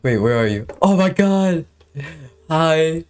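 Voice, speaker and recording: A young man narrating his game episode and chatting in voice chat, excitable and quick to repeat himself in bursts. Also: a little high, clean tone, soft background music.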